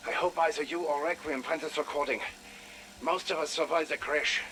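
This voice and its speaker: A man's voice: a little high, fairly even intonation, a little fast, bright, forceful tone, slight background noise, poor audio. A man playing an anxious German scientist with a German accent, leaving an urgent, earnest recorded message in a game.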